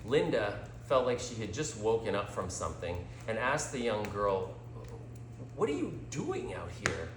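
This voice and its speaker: A man reading a story aloud as a storyteller, with deliberate, theatrical dramatic delivery. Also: mid-range voice, background noise. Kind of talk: scripted story narration, dramatic read-aloud. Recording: roomy, echoing sound.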